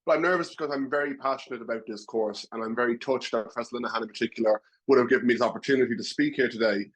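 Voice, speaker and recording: A man's voice: medium pitch, rapid-fire, fairly bright tone, husky, poor audio. A young man with a Dublin Irish accent, speaking earnestly as a current student in a webinar. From Ireland. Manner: earnest, wordy, self-deprecating. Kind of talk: webinar speech.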